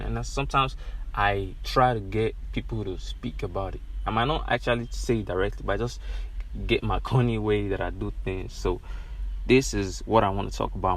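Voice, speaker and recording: A young man with a Nigerian accent talks straight to his podcast listeners from inside a car. His voice sits a little low, and his earnest delivery circles back on itself and swells into passionate emphasis. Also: fairly bright tone, a little hiss or hum. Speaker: podcast host. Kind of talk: solo podcast monologue.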